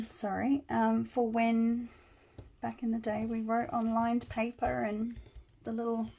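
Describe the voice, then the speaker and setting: A woman getting over a cold, her voice still croaky, chatting casually as she works on a journal at her craft table. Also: on the high side, darkish tone. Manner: chatty, thinking aloud while working. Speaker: crafter demonstrating journal making.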